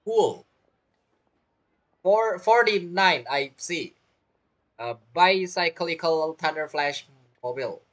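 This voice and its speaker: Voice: medium pitch, expressive, slow pace, pauses often, a bit bright. A game streamer giving casual, amused reaction commentary in informal, loosely grammatical English.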